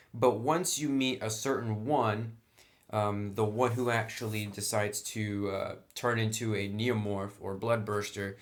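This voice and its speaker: A man giving a casual, explanatory review as a tabletop game reviewer, with some enthusiasm. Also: mid-range voice. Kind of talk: casual product review talk.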